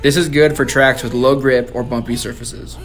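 A young man giving a clear, steady, scripted product tutorial as a voice-over. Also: medium pitch, moderate pace. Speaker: product demonstrator.

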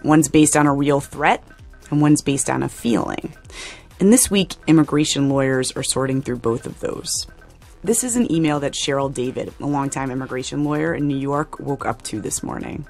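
Gravelly-voiced woman radio reporter. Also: rumbling low, normal speed, a little mellow, soft background music. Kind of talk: scripted radio narration.

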